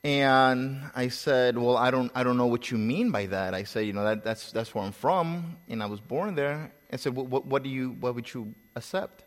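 A man from El Salvador with a slight Salvadoran Spanish accent, preaching a sermon in a relaxed storytelling way, with pauses and repeated words. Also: mid-range voice, big-room sound.

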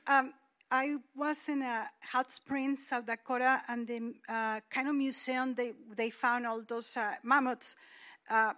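A woman from the audience, speaking haltingly with many pauses into a handheld mic. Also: fairly high pitch, fairly even intonation, pushed and bright. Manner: hesitant, halting speech with fillers. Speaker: audience member.

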